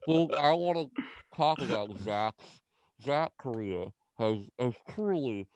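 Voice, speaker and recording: A man's voice: medium pitch, lively intonation, a little slow, darkish tone, no room sound. A man hosting a podcast, chatting casually and teasing his guest with jokes. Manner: teasing, joking, laughs often. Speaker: podcast host. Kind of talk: casual chat.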